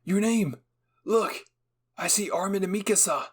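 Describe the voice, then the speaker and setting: A man's voice: high voice, measured pace, slightly rough, pitched above the performer's own voice. A man voicing a hot-headed, impulsive, jealous teenage boy soldier in an anime fan fiction roleplay. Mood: excited calling.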